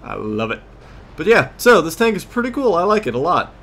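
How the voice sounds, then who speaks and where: A man's voice: a little high, big pitch swings, a little fast, a bit bright, a little hiss or hum. A man giving casual, rambling gameplay commentary as host of a gaming series, often losing his train of thought.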